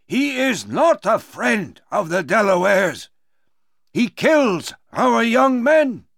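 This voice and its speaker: An elderly, solemn chief, acted by a male narrator in a storybook tale. The voice is raised high and creaky, with slow, stern, weighty questions and commands. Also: sing-song, fairly bright tone, no echo, clean studio sound, heavily acted. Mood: stern, questioning.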